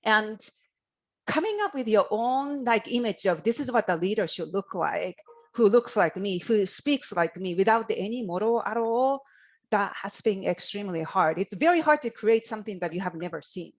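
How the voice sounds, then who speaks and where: A woman's voice: medium pitch, animated, normal speed. Woman on a zoom panel, earnest and thoughtful, talks for a long while. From Japan. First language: Japanese. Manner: earnest, reflective, speaks at length. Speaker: college faculty panelist. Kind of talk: panel discussion. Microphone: video call.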